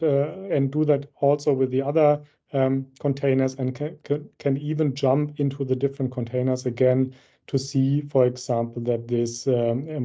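Man, bright voice, dull call audio. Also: medium pitch. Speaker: solution architect presenting a software demo. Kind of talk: technical demo presentation. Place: indoor room, on a video call. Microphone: video-call microphone.

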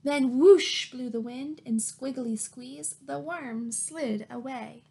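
A woman's voice: high voice, slow pace, vocal fry, dark tone, husky. A woman in her thirties reading a picture book aloud to young children, encouraging them with questions and prompts. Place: small plain room indoors at home. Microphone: webcam at arm's length.

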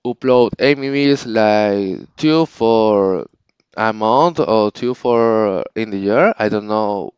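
A man whose first language is Spanish, talking casually to his channel's viewers in halting English, often restarting and searching for words. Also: on the low side, darkish tone, clear, resonant tone, no room sound, muffled recording. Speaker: channel owner. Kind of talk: casual unscripted vlog talk.

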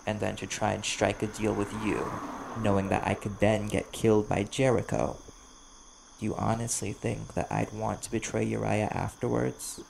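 A man voicing a wary, desperate vampire bounty hunter in an audio roleplay, blunt and guarded, with a gravelly voice. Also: lowish voice, flat delivery, moderate pace, darkish tone, poor audio. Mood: defensive, firm. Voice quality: gravelly, creaky. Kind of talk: ASMR audio roleplay acting. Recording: clear background noise, chirping crickets.

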